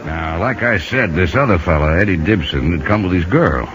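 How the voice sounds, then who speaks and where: Older baseball man narrating, real low folksy voice, old radio play, music under it. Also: lively intonation, moderate pace. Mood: wry. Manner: folksy, colloquial. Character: folksy, eager older baseball scout narrating his protege's story. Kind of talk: 1950s radio drama storytelling. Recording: muffled 1950 radio broadcast with music.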